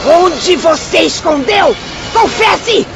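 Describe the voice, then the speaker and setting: A shrill, furious Brazilian mother. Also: expressive, slow, over music, full character voice. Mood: accusing, furious. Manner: scolding, insulting, shouting. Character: cruel, furious mother, fallen into poverty. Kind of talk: Brazilian radio drama acting. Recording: noisy outdoor crowd behind.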